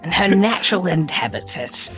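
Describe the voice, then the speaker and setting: A woman's voice: lowish voice, expressive, normal speed, soft background music, poor audio. A woman in joking, casual banter as a player in a tabletop game. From New Zealand.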